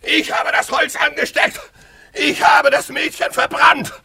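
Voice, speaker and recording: An actor playing an executioner who shouts frantically in guilt, heard from a distance in a radio play. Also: male voice, extremely high pitch, little pitch movement, unhurried, exaggerated voice. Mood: anguished. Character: guilt-stricken male executioner, desperate.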